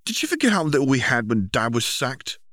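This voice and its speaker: Audiobook boy, male reader, resentful and defensive, raised pitch, dry sound. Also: sing-song, brisk, fairly bright tone, dry recording. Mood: resentful, indignant. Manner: defensive, indignant. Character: sullen, resentful young boy arguing with siblings.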